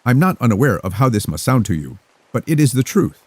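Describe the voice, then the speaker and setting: A man voicing a calm, mysterious young woman who speaks formally and rhythmically. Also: medium pitch, breathy edge, no echo, pitched above the performer's own voice, exaggerated voice. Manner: formal, rhythmic, unhurried delivery.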